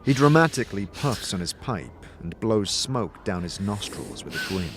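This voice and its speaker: A man narrating a dramatic fantasy story in the second person, with a theatrical, expressive delivery. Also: on the low side, moderate pace, a little hiss or hum. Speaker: narrator and game master voice. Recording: music behind the voice. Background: music.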